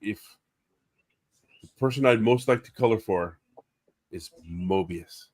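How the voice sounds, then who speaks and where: Man, co-host, casual livestream chat, enthusiastic, somewhat low voice. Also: slow pace, darkish tone. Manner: enthusiastic, eager agreement. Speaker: co-host and colorist.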